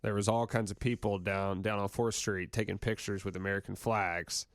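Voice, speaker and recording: Radio co-host, male, relaxed chatty delivery, lowish voice, dry studio sound. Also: moderate pace, dry recording. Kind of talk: casual radio talk. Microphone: studio microphone.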